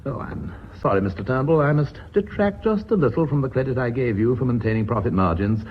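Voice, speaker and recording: A man's voice: mid-range voice, lively intonation, fairly quick, close to an everyday voice. An actor playing a genial, pompous British company chairman in a radio drama, speaking in formal, courteous phrases. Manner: formal, courteous, orotund speechmaking. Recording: dull, faintly noisy old radio recording.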